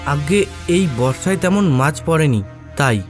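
A desperate village fisherman, acted by a man in an animated horror story. His voice is fairly high, earnest and humble, with soft music behind. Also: a little slow. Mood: humble pleading. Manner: earnest, pleading.

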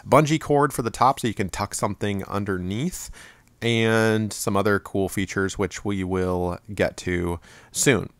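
A man with a fairly low voice walks through a product review in a loose, rambling way, full of ums and restarts. The sound is dry and close, with little room echo. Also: unhurried. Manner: relaxed, rambling with many fillers.

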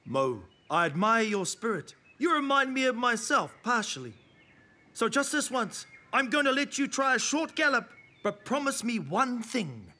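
A cool wild horse, smug and theatrical. The voice is high for a male and bright, laid-back in manner yet lively in its rise and fall. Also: normal speed, slight background noise, heavily acted. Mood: impressed, smug. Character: cool, smug, self-styled wild stallion. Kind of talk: preschool cartoon voice acting.

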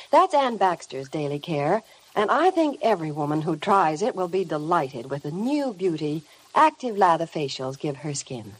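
A woman's voice: on the low side, breathy edge, low-quality recording. An American woman reporting on 1950 radio, reading scripted news and ads in a gushing, promotional manner.

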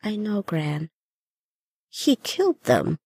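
A woman's voice: medium pitch, very animated, takes it slowly, a little mellow, husky, no room sound, voice pushed up, full character voice. A woman voicing a young granddaughter in a story, careful, coaxing and reassuring. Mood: subdued.

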